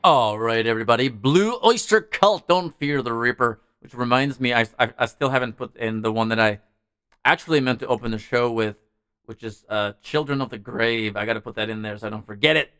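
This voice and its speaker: Man, clear smooth voice, dry close sound, rambling casual livestream host. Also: medium pitch, a little fast, a bit bright, no echo. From Norway. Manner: rambling, reading and answering viewers' messages. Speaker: music show host.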